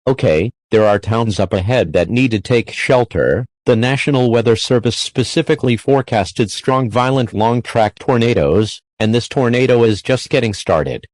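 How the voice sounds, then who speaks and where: A man's voice: mid-range voice, normal speed, hardly any pauses, a little mellow, dry recording, lightly acted. A man playing a worried TV meteorologist, earnest and urgent in scripted live storm coverage. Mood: alarmed, urgent.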